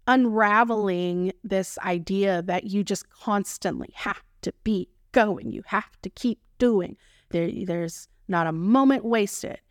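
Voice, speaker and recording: A woman in her thirties with a Texan accent talks through her podcast in a playful, slangy way, cheering herself on as she goes. Her voice is smooth and resonant and unhurried. The sound is dry, with no room echo. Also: medium pitch. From Texas, United States. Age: 30s. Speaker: podcast host. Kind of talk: solo podcast monologue.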